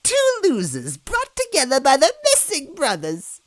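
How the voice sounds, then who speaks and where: A woman's voice: high-pitched, huge pitch swings, unhurried, no room sound, studio quality, voice pushed up, exaggerated voice. A woman voicing a hideous, gleefully cruel bean witch in a storybook reading, taunting with a theatrical sneer. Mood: scornful.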